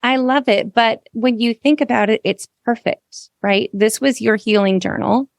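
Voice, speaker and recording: A woman's voice: on the high side, normal speed, gravelly, dry recording, studio quality. A woman hosting a podcast, introducing and chatting in an effusive, encouraging way. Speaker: podcast host. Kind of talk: podcast introduction and conversational interview.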